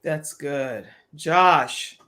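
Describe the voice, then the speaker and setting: A woman's voice: sits low, extremely slow, background noise. A woman talk show host interviewing a panel of guests, speaking emphatically as she responds to them.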